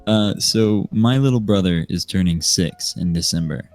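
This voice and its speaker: A nineteen-year-old American man speaks in a deep voice as a podcast guest. He tells stories casually and hesitantly, with faint music underneath. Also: moderate pace. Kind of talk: casual storytelling chat. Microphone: remote voice call microphone. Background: soft music.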